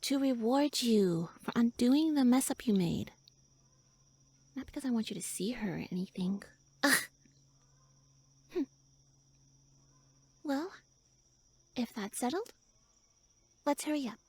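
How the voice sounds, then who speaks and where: A woman playing a pouty tsundere elf shrunk to pixie size in a roleplay audio, haughty, flustered and halting as she speaks to the listener. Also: a little high, lively intonation, a little mellow, slightly rough, dry recording, exaggerated voice.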